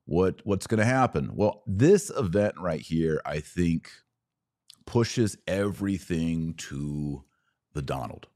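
Guy doing podcast commentary, really deep voice, talks slow and deliberate. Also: expressive, dark tone. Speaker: podcast host. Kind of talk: political and religious commentary.

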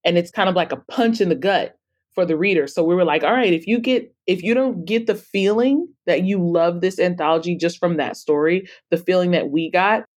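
A woman's voice: on the low side, brisk, a little mellow. A woman speaking as an interview guest in a conversational, emphatic way. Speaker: podcast guest, author and publisher.

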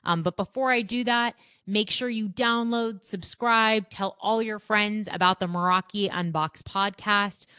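A woman's voice: mid-range voice, steady tone, measured pace, few pauses, pushed and bright, clean tone, no room sound, studio quality. A woman hosting a podcast in an upbeat, conversational presenting style. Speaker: podcast host.

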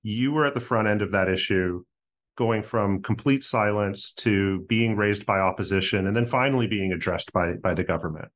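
Low-voiced podcast host. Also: male voice, moderate pace. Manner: polished scripted opener, then hesitant and chatty. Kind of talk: scripted intro, then casual hosting.

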